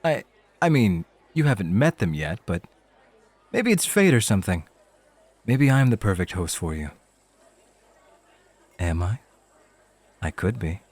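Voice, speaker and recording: A man's voice: on the low side, very animated, measured pace, long pauses, a little mellow, exaggerated voice. A man playing a young rookie club host in an ASMR roleplay, casual and cheeky, with bravado over self-doubt. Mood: flustered.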